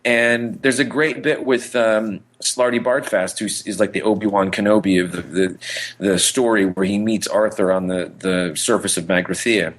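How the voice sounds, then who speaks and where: A man's voice: lowish voice, fairly even intonation, normal speed. A man co-hosting a podcast, chatting casually as an enthusiastic fan and rambling as he tells stories. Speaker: podcast co-host.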